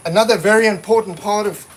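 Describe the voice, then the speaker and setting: A man's voice: sits high, noisy background. Plainspoken man giving fishing tips. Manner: plainspoken, practical, repeats himself while explaining. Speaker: seminar presenter. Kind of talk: casual instructional talk.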